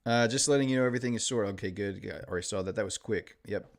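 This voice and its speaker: A man with a mostly Midwestern American accent and a faint Texan twang talks in a relaxed, easygoing way. He reads viewer comments aloud and answers them in casual live-stream chat, his voice sitting a little low. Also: rapid-fire, gravelly. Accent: mostly Midwestern American, slight Texan. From Illinois, later Texas. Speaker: day trader streaming live.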